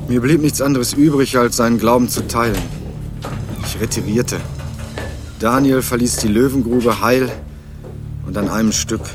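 An actor playing a young student in a radio crime drama, speaking in a dry, laconic, probing way. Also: male voice, medium pitch, normal speed, pauses often, music in the background, lightly acted. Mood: dry, reflective. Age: young adult. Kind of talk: 1980s radio crime drama, scripted narration and dialogue.

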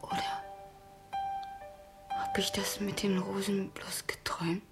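An actress playing a gentle, dreamy injured schoolgirl in a German radio drama, with a soft voice. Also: mid-range voice, flat delivery, slow, whispered. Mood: wistful. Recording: music behind, 1970s radio play.

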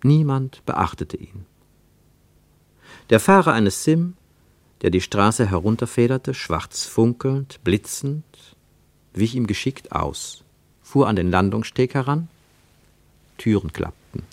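A man reads literary prose for radio as a narrator, with deliberate, emphatic phrasing and dramatic pauses. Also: mid-range voice, lively intonation, dry recording.

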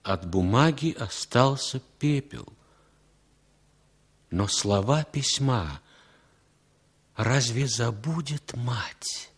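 An actor as a solemn, declamatory narrator in a 1960s radio drama, putting rhetorical questions. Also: male voice, medium pitch, lively intonation, drawn-out words, pauses often, breathy edge, heavily acted. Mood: grave. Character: solemn, dramatic narrator of a Cold War story. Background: music.

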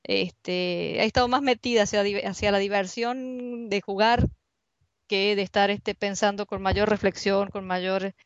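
Argentine woman researcher talking through her seminar slides over skype, mid pitch, muffled lo-fi call sound. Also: steady tone, measured pace, no echo. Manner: conversational, searching for words. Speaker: university researcher presenting. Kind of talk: online seminar presentation. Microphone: computer mic on a video call. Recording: dull lo-fi online call audio.

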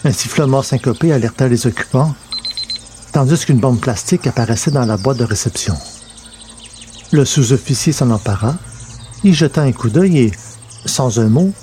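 A man reads scripted science fiction narration in a mellow voice of medium pitch. Faint noise sits behind the reading.

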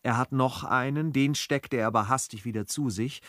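An actor playing a courteous, cautious gentleman traveller with deliberate, polite phrasing in a classic radio comedy. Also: medium pitch, no echo, studio quality, close to an everyday voice. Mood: discreet, earnest. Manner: polite, deliberating, measured wording.

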